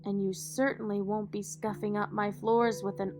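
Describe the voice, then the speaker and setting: A woman acting in an audio drama as a poised, protective, wealthy mother and executive, measured, polished and dryly witty. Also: medium pitch, little pitch movement, close to an everyday voice. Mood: proud, tender. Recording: music behind the voice.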